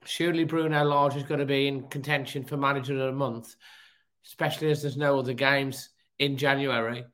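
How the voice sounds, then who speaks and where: A man with a hoarse, cold-roughened voice, hosting a casual football fan chat show. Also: medium pitch, flat delivery, a little fast, fairly bright tone. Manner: chatty, upbeat, fan talk. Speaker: football podcast host.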